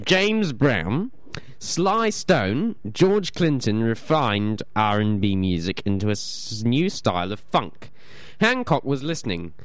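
A man's voice: medium pitch, big pitch swings, slow, dry recording. A man from New hosting a community radio show, chatting casually, rambling and reading notes aloud, often stumbling and repeating words.

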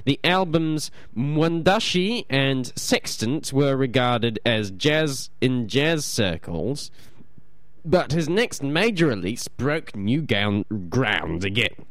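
A man's voice: medium pitch, animated, slow, fairly bright tone, dry recording. A man hosts a small radio show in a dry, close studio sound. He rambles in a casual, self-mocking way, tripping over words and repeating himself as he reads his notes. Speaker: community radio host. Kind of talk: casual radio chat and reading aloud.

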